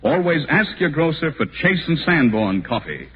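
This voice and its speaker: A man's voice: on the high side, sing-song, moderate pace. A man announcing with a polished, declarative sales delivery in 1940s radio commercial style. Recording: hissy, muffled old radio recording.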